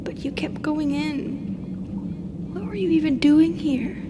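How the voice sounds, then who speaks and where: High, slow-spoken, lonely drider played by a woman. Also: in a whisper. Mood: wistful, wary. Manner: hesitant, halting, emotional delivery. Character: lonely, sorrowful female drider raised by humans. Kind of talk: audio roleplay monologue. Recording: clearly noisy background.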